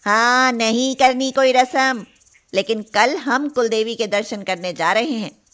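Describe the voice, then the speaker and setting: A woman voicing a scornful, sharp-tongued older mother-in-law in an animated story. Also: on the high side, measured pace. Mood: disapproving.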